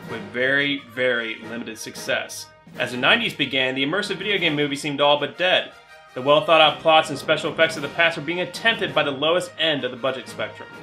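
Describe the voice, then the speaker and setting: Young man, video essay host, articulate and opinionated, fast pace, music in the background. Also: a little high, pushed and bright. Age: young adult. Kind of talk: scripted video essay narration.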